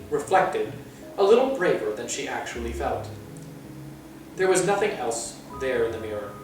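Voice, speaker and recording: A man reading a children's story aloud as an expressive storyteller. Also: medium pitch. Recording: very distant, echoey room.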